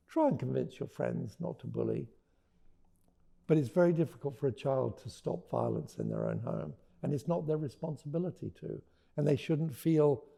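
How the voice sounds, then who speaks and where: Creaky, mellow male storyteller. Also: medium pitch. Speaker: author and storyteller. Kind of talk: expressive picture-book read-aloud and informal explanation.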